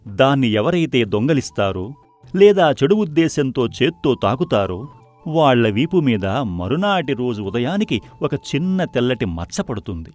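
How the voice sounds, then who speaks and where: A man playing a clever, confident court servant in a cartoon story, speaking dramatically and deliberately. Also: mid-range voice, a little mellow, lightly acted. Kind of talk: animated cartoon story dialogue.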